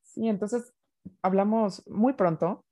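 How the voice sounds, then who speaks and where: A woman's voice: medium pitch, slow, warm tone. A young woman, co-hosting a podcast in casual chat with playful, wry asides. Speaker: podcast co-host. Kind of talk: casual chat about racing.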